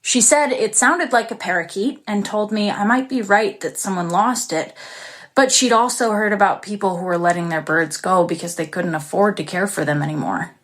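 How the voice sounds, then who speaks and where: A woman's voice: medium pitch, normal speed, a bit bright, lightly acted. An actress playing an isolated, anxious young woman who recounts her story in an intimate, confessional way for an audio drama. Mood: wistful. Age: young adult. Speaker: actress performing a monologue. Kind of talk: dramatic first-person audio drama narration.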